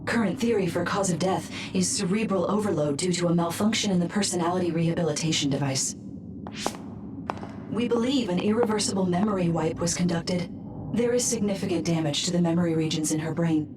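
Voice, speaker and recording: A woman's voice: on the low side, brisk, close to an everyday voice. A woman playing a clinical military official in a video game, giving a formal, detached report. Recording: distant voice under clear background noise.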